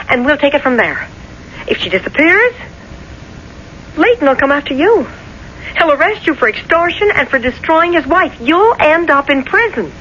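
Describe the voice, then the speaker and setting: An actress playing a pushy, scheming blackmail accomplice, bossy and scornful, in a 1970s radio drama. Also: female voice, high voice, sharp tone. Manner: goading, bossy. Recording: muffled, hissy 1970s radio broadcast.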